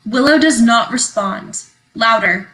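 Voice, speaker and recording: A woman's voice: a little high, animated, unhurried, bright, forceful tone, rough recording. A woman reading stage directions aloud as scripted narration for a play.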